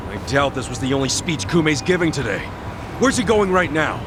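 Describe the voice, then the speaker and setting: An actor playing an earnest, pragmatic crew member with a plain, thoughtful delivery in a video game. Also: male voice, fairly high pitch, sing-song, moderate pace, noisy background. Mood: questioning.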